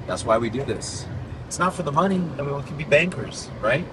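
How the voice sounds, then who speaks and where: A man in his forties hosting a casual podcast from inside a moving car, talking in a relaxed, upbeat way. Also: mid-range voice, brisk. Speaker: podcast host and band frontman. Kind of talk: casual chat. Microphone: phone at arm's length. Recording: loud road noise, slightly distant phone mic. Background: road and engine noise.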